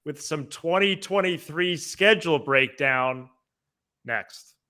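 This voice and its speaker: A man hosts a sports podcast with a bright, forward voice that is fairly high for a man. His sound is clean and crisp, and he gives his commentary casually. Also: slow pace.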